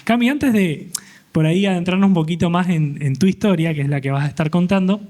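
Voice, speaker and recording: A man hosts a youth church interview, speaking in a friendly, joking way with halting fillers. His voice sits a bit high for a man. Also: a little mellow. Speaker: host.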